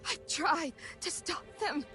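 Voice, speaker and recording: A woman playing a frightened, remorseful human in a video game, pleading in a halting way. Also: sits high. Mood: pleading, fearful. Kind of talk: video game cutscene acting. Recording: music underneath.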